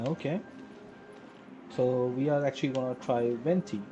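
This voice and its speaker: A man's voice: medium pitch, normal speed, lo-fi sound. A man giving casual live gameplay commentary as a streamer, muttering short reactions and questions to himself. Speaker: game streamer. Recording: game music behind. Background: game music.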